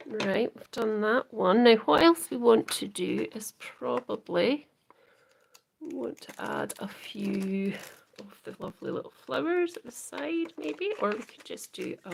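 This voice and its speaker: A Scottish woman talks viewers through a live craft demo at an unhurried pace. Her voice carries a gravelly edge, and she often drops into soft whispers while she works. A faint hiss sits behind her. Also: on the high side. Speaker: craft livestream host.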